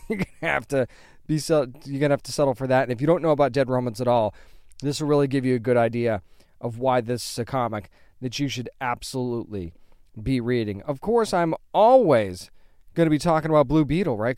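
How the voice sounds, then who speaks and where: A man's voice: mid-range voice, fairly quick, a little mellow, smooth, no room sound, clean studio sound. A man hosting a podcast, talking in a chatty, enthusiastic way and interviewing a guest. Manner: chatty, enthusiastic, loose restarts. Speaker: podcast host. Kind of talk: casual podcast chat and interviewing.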